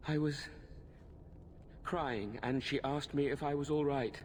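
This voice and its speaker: A man playing a tormented, pitiful killer in a video game, halting and anguished as he pleads. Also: on the high side, fairly even intonation, a little hiss or hum, lightly acted. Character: tormented, self-loathing male killer, magistrate's son, pitiful. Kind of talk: video game dramatic acting.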